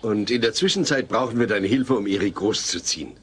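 An actor playing a gentle, patient middle-aged father in a German sitcom audio drama. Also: lowish voice, a little fast, slightly rough. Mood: encouraging.

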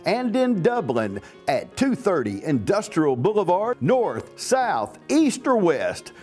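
A man's voice: a little high, a little mellow. A man reading a scripted commercial for a health care provider. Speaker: commercial announcer. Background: music bed.

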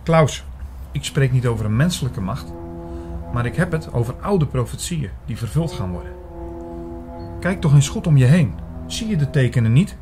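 An actor in a radio drama playing an earnest investigator who argues insistently and with literary phrasing for his dark convictions. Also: mid-range voice, very animated, normal speed, pauses often, over music, lightly acted. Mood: urgent, pleading. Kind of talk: radio drama narration and dialogue.

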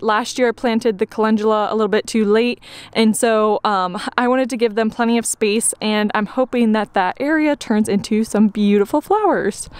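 A woman's voice: fairly high pitch, smooth. A woman vlogging casually as she walks through her home garden and explains her plantings. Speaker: homesteading vlogger. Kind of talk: casual garden tour vlog. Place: outdoors in a backyard garden.

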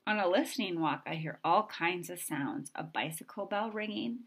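A woman reading a children's picture book aloud in an expressive way, with simple, deliberate phrasing for young listeners. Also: fairly low pitch, breathy edge. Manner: expressive, acting out sound effects. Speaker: storybook reader.

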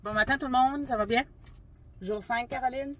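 A woman's voice: high-pitched, normal speed. Brief: Quebec woman, 40s, travel vlogger, laughs through retakes, phone mic in a car. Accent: Quebec French. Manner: giggly, restarting her intro. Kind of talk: casual chat. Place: parked car. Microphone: phone camera on the dashboard.

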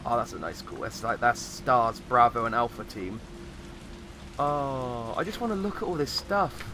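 A man with a slightly croaky voice giving casual, rambling commentary as he plays a game. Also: mid-range voice, normal speed, pushed and bright, a little hiss or hum. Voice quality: slightly croaky, recovering from voice loss. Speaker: gamer, host of a let's play.

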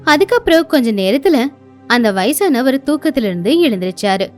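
A woman narrating an animated children's story in an expressive storytelling manner. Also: sits high, moderate pace, faint music behind.

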